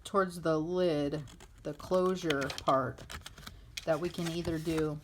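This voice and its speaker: A woman with a slightly low voice talks very slowly through a hands-on craft tutorial. She is informal and thinks aloud as she goes. The recording is plainly noisy, made at a table indoors. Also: steady tone. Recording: clearly noisy home recording with clicks.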